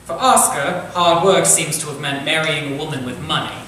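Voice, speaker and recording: A man's voice: fairly high pitch, fairly even intonation, normal speed, sharp tone. A man playing a learned Victorian theatre manager, speaking formally with dry wit in a staged reading. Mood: dry, critical. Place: echoey room or small theatre, staged reading. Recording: roomy, distant stage recording, faint noise.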